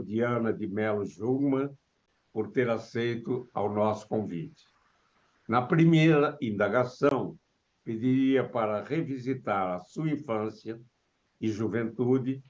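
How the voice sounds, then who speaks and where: Formal guy hosting an interview, talks real slow, muffled sound. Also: medium pitch. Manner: measured, formal. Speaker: interview host, professor. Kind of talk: formal scripted introduction and questions.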